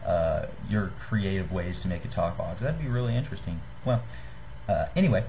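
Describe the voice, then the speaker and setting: A young man giving a casual how-to demonstration to a webcam in a small room, halting and apologetic. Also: low-pitched, animated, dark tone, a little hiss or hum, poor audio. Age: young adult. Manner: halting, apologetic, self-deprecating. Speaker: hobbyist giving a homemade gadget tutorial. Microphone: webcam or computer microphone at desk distance.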